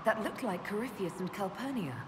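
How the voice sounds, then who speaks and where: A woman playing a brisk, pragmatic adventurer leader in a fantasy video game, direct and wry. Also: medium pitch, expressive, background noise, close to an everyday voice. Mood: serious, probing. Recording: reverberant hall, music behind. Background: music.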